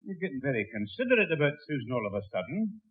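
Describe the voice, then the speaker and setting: A man playing a gruff, suspicious old grandfather in a 1960s radio sitcom. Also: fairly high pitch, very animated, fast. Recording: tinny old radio with audience sound.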